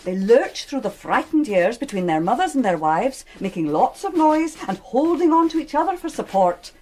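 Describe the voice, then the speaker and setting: An actress playing an inspector's shrewd, opinionated wife in a radio drama, knowing and gently needling. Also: female voice, on the high side, sing-song, normal speed. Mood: knowing, teasing. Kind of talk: BBC radio drama acting.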